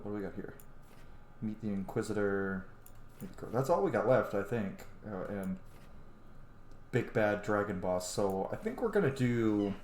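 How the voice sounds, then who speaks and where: A man in his thirties giving casual, chatty, sweary gaming commentary as a channel host. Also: lowish voice. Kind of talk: casual let's play commentary. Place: small room at home, indoors. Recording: echoey room with faint noise.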